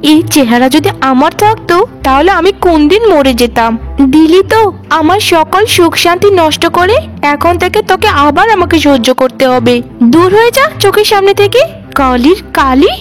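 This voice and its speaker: A woman's voice: extremely high pitch, animated, moderate pace. A woman voicing a cruel, scornful sister-in-law in a cartoon, nagging and taunting sharply, with music underneath. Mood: furious. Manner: sharp-tongued scolding, taunting. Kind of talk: animated cartoon drama voice.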